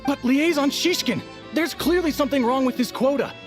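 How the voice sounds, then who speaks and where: A woman's voice: sits high, fairly quick, soft background music, lightly acted. A woman voicing an indignant, protesting townsperson in a video game. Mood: pleading. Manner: protesting, exasperated. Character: indignant, struggling townsperson with family to worry about.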